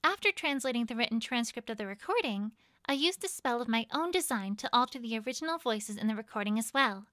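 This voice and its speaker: Woman playing a high, bright, bookish unicorn pony. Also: expressive, fast, no room sound, clean studio sound. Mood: formal, explanatory. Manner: earnest, precise, bookish. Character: studious, eager female unicorn pony scientist and translator. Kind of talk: audio drama acting.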